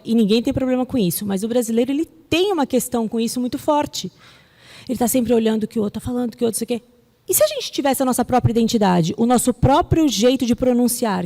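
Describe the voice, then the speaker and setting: A Brazilian woman with a fairly high voice gives a public talk in a reverberant hall. She speaks emphatically but conversationally, like a teacher answering questions, and keeps checking that listeners follow. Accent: Brazilian Portuguese. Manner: animated, conversational, emphatic, with frequent rhetorical questions and check-ins. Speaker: English teacher and translator giving a talk. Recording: echoey hall with long reverb.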